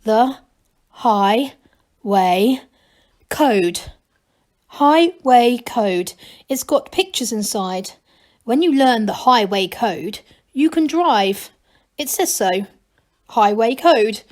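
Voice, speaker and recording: A woman's voice: fairly high pitch, expressive, very slow, long pauses. A woman voicing an earnest, proud young male gnome leader who plunges on emphatically in a storybook reading.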